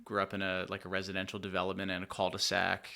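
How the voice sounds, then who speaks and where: A young man from Pennsylvania chats as a podcast guest, his low voice gravelly with fry yet bright and forward. He rambles in a relaxed way, piling up fillers and trailing thoughts. Recorded in a studio. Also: quick pace. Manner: laid-back, rambling, heavy on fillers like "like" and "dude". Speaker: musician guest. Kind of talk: casual interview chat.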